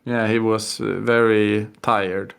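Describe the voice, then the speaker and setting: Young Swedish-accented guy, low, gravelly, monotone, halting podcast co-host. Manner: halting, many fillers. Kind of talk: casual chat.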